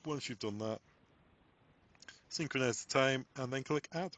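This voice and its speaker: A man presents a software tutorial as a voice-over. His voice sits at a middle pitch for a man. He phrases things as practical instructions, taking the listener through one step at a time. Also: measured pace. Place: voice-over on a screen recording.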